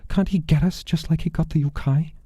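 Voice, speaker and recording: A man's voice: a little high, fairly even intonation, brisk, warm tone, slightly rough, no echo, clean studio sound, voice pushed up. A man voicing an earnest, frightened young boy who pleads and reasons, in a storytelling performance. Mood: earnest, pleading.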